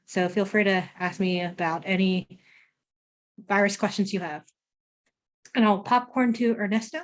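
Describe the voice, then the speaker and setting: Young woman, mid-pitched, casual panelist. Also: steady tone, normal speed. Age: young adult. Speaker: student panelist. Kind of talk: casual self-introduction on a panel. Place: video call.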